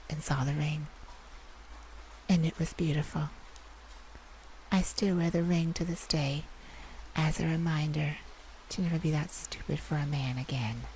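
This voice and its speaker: A woman playing a proud, wounded, caring male vampire in an intimate, emotional ASMR audio drama, with a husky, confessional delivery. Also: deep voice, warm tone, heavily acted, rough recording. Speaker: audio roleplay performer.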